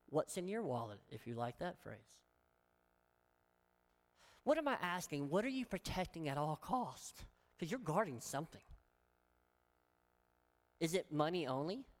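A woman pastor with a Southern accent, preaching a sermon in an earnest, emphatic way and asking many rhetorical questions. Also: low-pitched. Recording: long hall reverb.

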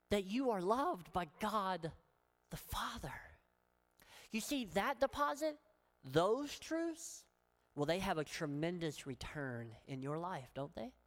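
A woman pastor with a Southern accent, preaching a sermon in an earnest, emphatic way and asking many rhetorical questions. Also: fairly low pitch. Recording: long hall reverb.

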